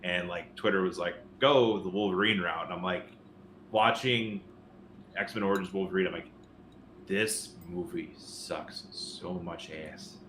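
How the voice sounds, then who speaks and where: A man's voice: sits low, measured pace, fairly bright tone. A man co-hosting a podcast, chatting casually in an informal, rambling way. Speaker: podcast co-host. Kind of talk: casual chat about games and movies. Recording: roomy sound, faint noise.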